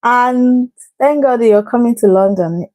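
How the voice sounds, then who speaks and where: Brief: woman vlogger from Cameroon, warm-toned and slow, chatty and upbeat with viewers, laughs a lot. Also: fairly high pitch, no room sound, poor audio. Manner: chatty and encouraging, greets viewers by name, laughs often. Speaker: livestream host giving visa advice. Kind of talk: casual livestream chat.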